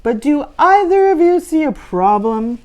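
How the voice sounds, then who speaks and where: A woman plays an exacting monster boss from a children's storybook. He is stern and instructive, issuing orders slowly with big swings in pitch. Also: very animated, a little mellow. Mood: stern, commanding. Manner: commanding, instructive. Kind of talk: children's storybook read-aloud.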